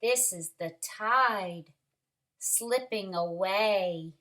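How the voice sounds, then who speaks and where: A woman reading a picture book aloud to young children, expressive and dramatic, speaking like a teacher who pauses to ask questions. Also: medium pitch. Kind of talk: animated storybook read-aloud for young children.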